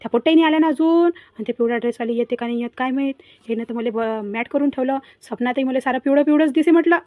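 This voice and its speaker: A woman's voice: sits high, rapid-fire, dry recording, narrow, tinny audio, lightly acted. A woman voicing a mischievous, boastful village boy in a cartoon comedy, chattering and teasing in a Varhadi Marathi accent.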